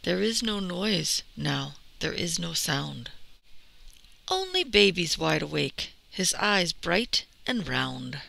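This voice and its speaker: Woman, middle-aged, teacher, expressive storybook reading, slow pace, heavy vocal fry. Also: fairly low pitch, no echo. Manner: expressive, addressing young children. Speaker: teacher reading aloud to children. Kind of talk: picture-book read-aloud and talk to pupils.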